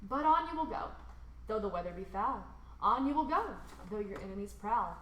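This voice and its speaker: A woman reads a rhyming children's picture book aloud expressively, with theatrical emphasis and drawn-out words. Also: mid-range voice, lively intonation, fairly bright tone, reverberant, low-quality recording. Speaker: storybook reader.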